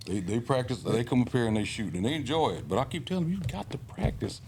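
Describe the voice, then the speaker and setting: A man's voice: medium pitch, expressive, fast talker, a little mellow, a little hiss or hum. A man in his fifties, a guest on a podcast, chatting in a folksy, easygoing way. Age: 50s. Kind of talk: casual chat.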